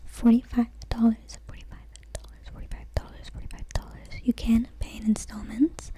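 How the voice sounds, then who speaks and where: Friendly nail salon tech, a woman whispering, ASMR roleplay, close-miked, mellow and a little high. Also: little pitch movement, natural voice. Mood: gentle. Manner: soft whisper, repeats words slowly. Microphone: close mic.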